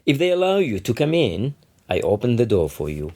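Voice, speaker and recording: A man's voice: medium pitch, very animated, fairly quick, dark tone, pitched above the performer's own voice. A man reading aloud the part of an old, officious border guard who lectures dismissively in a literary story. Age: older.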